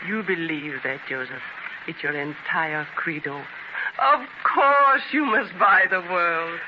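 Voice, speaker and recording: An actress playing a shrewd, affectionate, teasing wife who gently chides her husband in a 1930s radio drama. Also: fairly low pitch, slow pace. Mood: tender, resolute. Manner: expressive, gently chiding. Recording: noisy, dull 1930s radio transcription.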